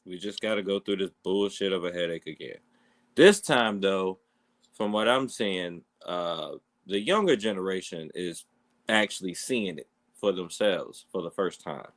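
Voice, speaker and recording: A man co-hosts a podcast and gives his opinions bluntly, unbothered, in easy casual talk. His voice sits somewhat low. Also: unhurried. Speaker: podcast co-host. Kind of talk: casual chat.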